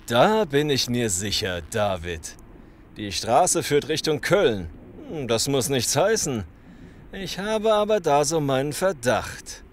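A man's voice: mid-range voice, big pitch swings, slow, slight background noise. An actor playing a menacing, calculating male gang boss in a German radio play, commanding and mock-polite. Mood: smug, calculating.

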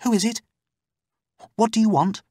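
A man's voice: sits high, normal speed, darkish tone, no room sound, clean studio sound, voice pushed up. A man narrating a storybook, playing an anxious, wary woman held captive behind a locked door. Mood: wary, urgent.

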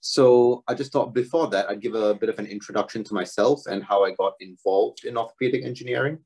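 Low-voiced young man giving a research talk. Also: a little fast, husky. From Singapore. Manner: relaxed, self-deprecating, with frequent fillers. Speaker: doctoral student presenter. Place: home room, video talk.

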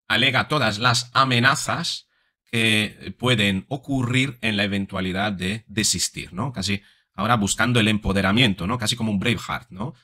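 Man, forties, bright forceful voice, explanatory commentary, frequent tag questions, close desk mic. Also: lowish voice. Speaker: psychologist, video commentator. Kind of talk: analytical commentary on body language. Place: small room indoors. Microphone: desk microphone close in front.

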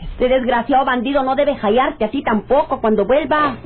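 An actress playing a desperate, sharp-tongued rural cousin with a Mexican accent, pleading in melodramatic radionovela style. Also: female voice, sits high, normal speed, slight background noise, natural voice. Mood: urgent, exasperated. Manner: insistent, imploring, melodramatic. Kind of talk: radionovela acting. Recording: dull lo-fi old radio drama recording.

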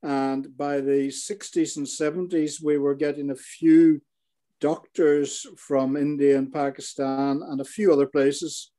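Retired doctor, older Northern Irish man, warm and slightly high-pitched, halting, over a laptop call. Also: level tone. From Northern Ireland. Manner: hesitant, many fillers and restarts. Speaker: retired paediatrician. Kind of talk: interview over video call. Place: living room in an armchair. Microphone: laptop webcam video call.

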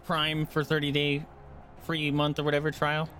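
A man's voice: fairly high pitch, monotone, normal speed, bright, forceful tone. A man livestreaming a game, chatting informally and wandering into asides for his viewers. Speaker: game streamer. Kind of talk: casual chat while livestreaming a game.

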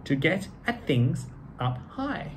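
A man's voice: fairly high pitch, very animated, slow pace, a little hiss or hum. A middle-aged man with a British accent reading a children's story aloud in a theatrical, genteel manner. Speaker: co-host of children's read-aloud channel. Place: living room with piano, indoors.

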